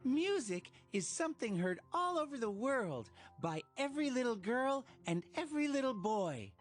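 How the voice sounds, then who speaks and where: Animated, cheerful cartoon child. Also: female voice, a little high, moderate pace, faint music behind. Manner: sing-song, instructive. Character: cheerful cartoon child teaching preschoolers in rhyme. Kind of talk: scripted rhyming children's game narration.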